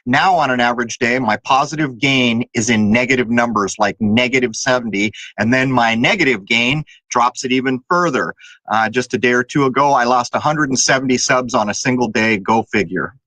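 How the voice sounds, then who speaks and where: A man's voice: mid-range voice, fairly quick, bright, forceful tone, dry recording, rough recording. A man hosting a talk show, chatting casually and drifting between topics with fillers. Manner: conversational, digressive with fillers. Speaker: podcast host.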